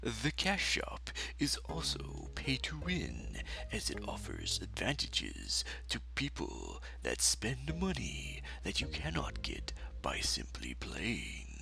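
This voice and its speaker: A man delivering an opinionated scripted game review rant in an emphatic, hyperbolic, dramatic way with a gravelly voice. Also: lowish voice, wildly swooping pitch, slow, few pauses, sharp tone, in a whisper, low-quality recording.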